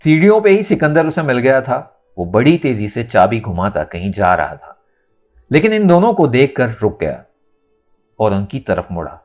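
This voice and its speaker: A man narrating a scripted novel in a dramatic, expressive storytelling manner. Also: medium pitch, moderate pace, soft background music. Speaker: audiobook narrator.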